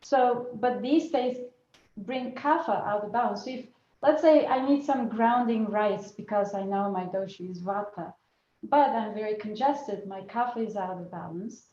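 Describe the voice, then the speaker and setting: A woman's voice: mid-range voice, normal speed, mellow. A woman teaching Ayurvedic cooking over a video call, explaining patiently and often restarting her phrases. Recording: roomy and muffled video call audio.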